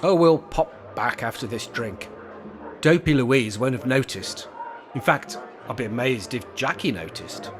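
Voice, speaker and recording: A man plays a cantankerous old British care home resident for a radio play. He is sardonic and rebellious, grumbling at authority. His medium-pitched voice moves around with lots of expression. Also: lively intonation, a little slow, a little hiss or hum, lightly acted. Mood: grumbling, mischievous.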